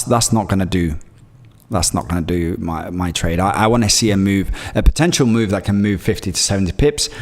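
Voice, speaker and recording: A man's voice: lowish voice, long reverb tail. A man who grew up in Greece, with Greek as his first language, streaming live and talking through his trades in a rambling way, reading chat messages as he goes. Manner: rambling, reads chat messages aloud, many fillers and restarts. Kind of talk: live-stream trading commentary.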